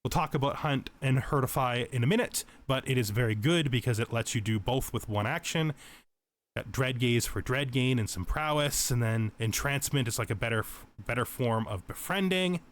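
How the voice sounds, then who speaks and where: A man's voice: medium pitch, normal speed. Man giving an unscripted game tutorial. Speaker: tutorial let's play host.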